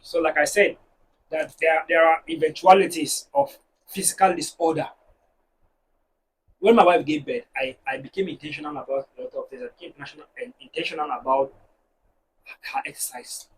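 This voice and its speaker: A man with a Nigerian accent, a married guest on a discussion show, talking in an animated, preachy way and telling stories to make his points. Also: a little high, brisk, distant mic, slight background noise, rough recording. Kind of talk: casual panel discussion.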